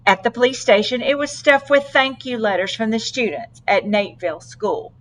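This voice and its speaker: A woman narrating a children's storybook aloud with deliberate, dramatic phrasing. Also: on the high side, low-quality recording. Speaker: storybook reader.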